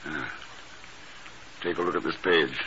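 An actor playing a cool, terse, world-weary secret agent in a 1950s radio drama, speaking dryly and haltingly. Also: male voice, extremely low pitch, steady tone. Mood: dry, impatient. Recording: muffled, noisy early-1950s radio recording.

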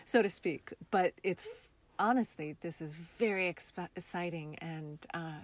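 An American woman with a slightly low voice talks as an interview guest. Her style is casual and reflective. She phrases things thoughtfully, often halting as she finds her words. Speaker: interview guest, theater artist.

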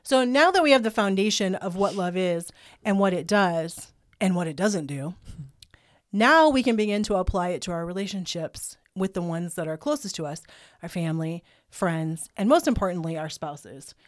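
A woman's voice: lowish voice, lively intonation, moderate pace, dry recording, clean studio sound. Chatty older woman podcast host. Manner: chatty, laughs and jokes, talks through a cough drop. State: has been sick, clears throat.

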